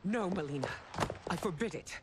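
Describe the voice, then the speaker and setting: An actress voicing a proud, imperious empress in a video game cutscene, speaking in a regal, formal, commanding manner. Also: female voice, on the low side, animated, moderate pace, noisy background, natural voice. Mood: alarmed. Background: background music.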